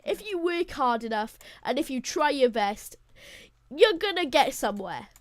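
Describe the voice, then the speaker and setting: Welsh teen girl co-host, native Welsh speaker, chatty and rambling, slightly high voice, dry close sound. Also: very animated, normal speed, dry recording. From Wales. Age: teens. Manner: rambling, giggly, talks to viewers as "guys". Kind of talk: casual chat.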